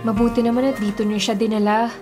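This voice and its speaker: A Filipino woman acting in a radio drama as an impatient, unsympathetic grown daughter, brisk in manner. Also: a little high, level tone, loud music behind the voice, close to an everyday voice. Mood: businesslike.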